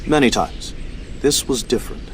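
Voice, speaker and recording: A man's voice: medium pitch, normal speed, slight background noise, natural voice, low-quality recording. An actor playing a matter-of-fact male mutant inventor in a video game, explaining things in practical terms. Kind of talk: video game cutscene acting.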